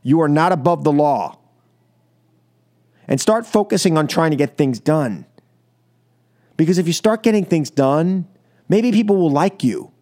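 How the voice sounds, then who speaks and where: American guy doing a political radio rant, pretty high voice, emphatic and scolding, keeps hammering short phrases. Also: animated, measured pace, pauses often, mellow. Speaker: radio talk show host. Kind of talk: political opinion monologue on call-in radio.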